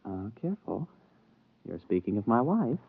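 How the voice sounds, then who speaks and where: A man's voice: on the low side, very animated, moderate pace, creaky, slightly rough, phone-quality sound, full character voice. An actor playing a wry, flustered rocket captain who narrates his story, in a 1950s radio drama. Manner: hesitant, stammering in dialogue. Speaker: narrator and lead. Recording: old telephone-thin radio broadcast.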